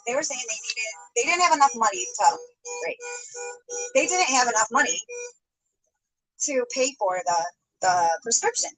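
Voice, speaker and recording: A woman's voice: fairly high pitch, lively intonation, moderate pace, pauses often, fairly bright tone, faint music behind, rough recording. A woman telling a rambling story, hedging often and breaking into little laughs. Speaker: storytime YouTuber.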